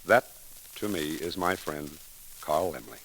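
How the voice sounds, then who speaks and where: A low-pitched man delivers a scripted 1930s radio eulogy as a story, formal and oratorical, phrase by deliberate phrase. The recording is vintage and crackly. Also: expressive, gravelly. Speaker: speaker giving a tribute.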